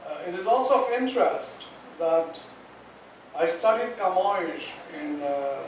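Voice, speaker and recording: A man from Goa recalling his upbringing on a panel, speaking slowly and reflectively with frequent pauses. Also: high voice, expressive. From Goa, India. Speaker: panel guest, author. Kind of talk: recounting memories on a panel. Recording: distant mic in echoey hall, faint noise.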